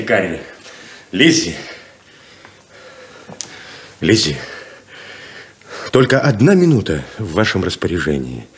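An actor playing an ardent, theatrical drifter who sells rain, in a 1970s radio drama. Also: male voice, fairly low pitch. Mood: ardent, urgent. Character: ardent, theatrical drifting male rainmaker and con man.

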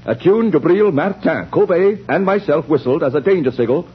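A man's voice: fairly high pitch, lively intonation, moderate pace, slightly rough. A man playing an earnest, formal doctor in a 1930s radio drama, speaking precisely. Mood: earnest, worried. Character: earnest male doctor seeking help. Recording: muffled, hissy 1930s radio recording.

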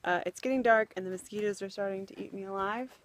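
A woman's voice: medium pitch, fast talker, slight background noise. A young woman filming herself on a phone at arm's length, chatting casually about building her house and thinking aloud with fillers. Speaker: family vlogger. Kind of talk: casual home-building vlog. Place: house under construction and a back porch. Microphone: phone held at arm's length.

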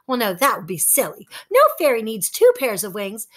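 A woman's voice: fairly high pitch, very animated, moderate pace. A woman voicing a tiny, cheerful female fairy who talks sweetly and eagerly. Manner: bright, gleeful and sweet.

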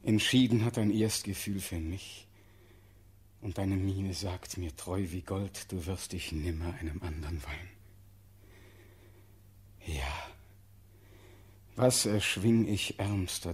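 Desperate young prince, breathy and slow. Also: male voice, high voice, full character voice. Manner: impassioned, declamatory, swinging from hush to outcry. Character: desperate young prince condemned to die, pleading for his life. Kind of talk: classical German stage-verse radio drama acting.

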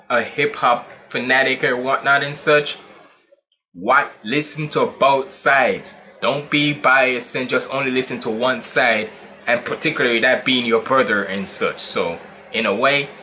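Bright-voiced young Jamaican man, casually rambling. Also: mid-range voice. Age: 20s. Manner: rambling, repetitive, slangy. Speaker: reaction video host. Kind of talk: casual commentary. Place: small indoor room at home.

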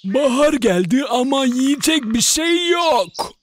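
An actor voicing a big, hungry, good-natured bear in a cartoon dub, with drawn-out, sighing delivery. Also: high voice, expressive, heavily acted. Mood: hungry, weary. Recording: music behind the voice. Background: background music.

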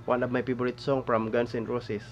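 A man in his thirties from the Philippines with a Filipino accent, hosting a casual music reaction video from a small room, enthusiastic and halting, with many fillers and repeated exclamations. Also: medium pitch, little pitch movement, dull audio, slight background noise. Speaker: reaction channel host. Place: small indoor room with patterned cloth backdrop. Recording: faint music playing behind.

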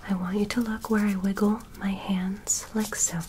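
A young woman playing a calm, curious female alien medical examiner in an ASMR roleplay, in a soft, breathy whisper with a gentle clinical manner. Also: mid-range voice, a little hiss or hum, natural voice. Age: young adult. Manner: slow, gentle, clinical, politely instructing. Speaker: ASMR performer. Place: small bedroom set, indoors. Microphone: close microphone.

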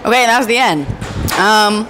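A young woman vlogging at a powerlifting meet, her voice raspy and tired from being sick, chatty and joking. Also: medium pitch, moderate pace, background noise. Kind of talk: casual vlog to camera. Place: large indoor gym at a meet. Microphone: handheld camera up close.

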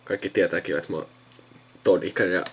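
A man's voice: deep voice, steady tone, breathy edge. A young Finnish man in his late teens chatting casually and reading the screen aloud in mumbled asides. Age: late teens, young adult. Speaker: video maker narrating an account sign-up. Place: indoors at a computer. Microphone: handheld camera filming a monitor.

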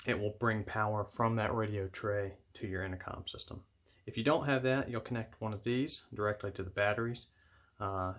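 A man giving measured, matter-of-fact step-by-step instructions as he demonstrates an equipment setup indoors at a table. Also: on the low side, a bit bright. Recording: clear background noise with clicks.

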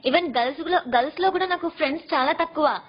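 High, fast young woman, dull lo-fi sound. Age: young adult. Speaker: caller seeking relationship advice. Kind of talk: personal explanation in conversation.

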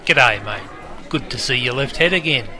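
Guy doing a matey aussie bartender, broad accent, loads of slang, cheeky, mid pitch, noisy crowd in back. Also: expressive, moderate pace, bright, forceful tone. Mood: cheeky, welcoming. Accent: broad Australian. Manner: jokey, teasing, heavy slang. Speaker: bartender guide. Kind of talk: interactive game character patter. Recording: busy crowd noise behind. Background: crowd chatter.

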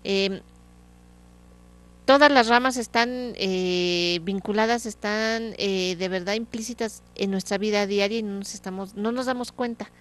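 A woman's voice: medium pitch, unhurried. A woman in her thirties hosting a small studio TV interview on a handheld mic, chatty, often repeating and restarting her phrases. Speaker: TV show host. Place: small TV studio set, indoors.

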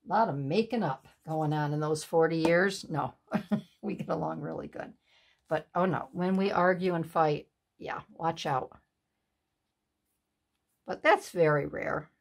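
A slow-talking woman in her sixties with a fairly low, breathy voice, sniffling a little. She chats at her craft table at home, laughing and talking to herself as she works. The sound is picked up by an overhead camera on the desk. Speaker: craft channel host. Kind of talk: casual chat while making a collage. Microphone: overhead camera on the desk, hands only in frame.

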